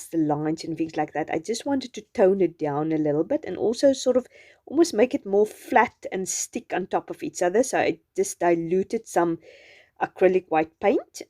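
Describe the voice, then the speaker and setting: A South African woman whose first language is Afrikaans, chatting gently through a journaling craft voice-over. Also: on the low side. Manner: gentle, chatty, meandering with restarts. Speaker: journaling channel host.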